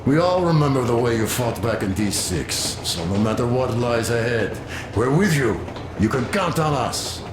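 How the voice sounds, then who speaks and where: An actor playing a loyal, meticulous soldier and gunsmith in a video game, chatty and earnest. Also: male voice, mid-range voice, animated, moderate pace, close to an everyday voice. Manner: earnest, chatty, fussy about gear. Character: loyal, meticulous male soldier and weapons tinkerer. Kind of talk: dramatic video game voice acting. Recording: roomy, clear train noise behind.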